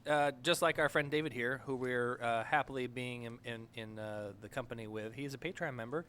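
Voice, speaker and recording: A man's voice: mid-range voice, steady tone, brisk, fairly bright tone, clean tone. A man hosting a casual podcast chat, joking around and talking with plenty of fillers. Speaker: podcast host.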